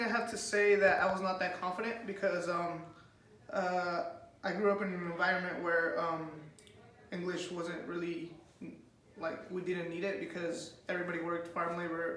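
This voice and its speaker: A young man whose first language is Spanish answers interview questions, speaking with hesitant pauses and many fillers. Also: sits high, flat delivery, fairly bright tone. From United States. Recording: echoey room, far from the mic, faint noise.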